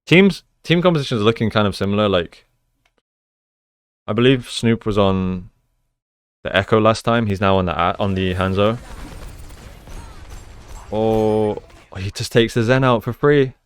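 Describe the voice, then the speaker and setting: A man with a fairly low, clean voice talks through gameplay footage in a casual coaching style. He explains plays conversationally, in a smooth tone. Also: unhurried, long pauses. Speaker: esports coach and commentator. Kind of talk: casual gameplay analysis commentary.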